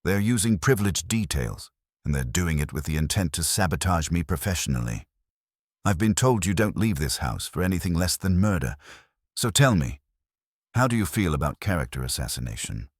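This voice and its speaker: A man's voice: low-pitched, big pitch swings, moderate pace, no room sound, clean studio sound. Man voicing a cool, haughty woman wine critic. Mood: cool indignation. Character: poised, indignant female wine critic, cool and cutting.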